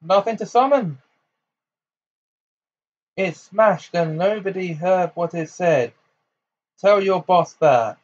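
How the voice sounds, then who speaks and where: A man voicing an angry, defiant teenage boy wizard in an audiobook, loud, mocking and goading. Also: fairly high pitch, a little slow, hardly any pauses, highs cut off.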